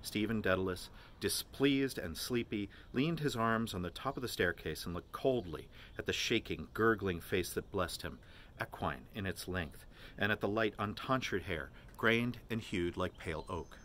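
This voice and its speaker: A man reading literary prose aloud as an expressive narrator. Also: fairly low pitch, normal speed, slight background noise. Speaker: volunteer reader of a novel.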